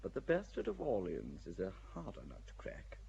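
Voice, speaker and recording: An actor playing a cool, cynical English nobleman in a radio drama, speaking in a languid, wry, unhurried way. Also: sits low, wildly swooping pitch, creaky, dark tone, muffled recording, slight background noise, full character voice. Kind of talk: classic radio drama acting.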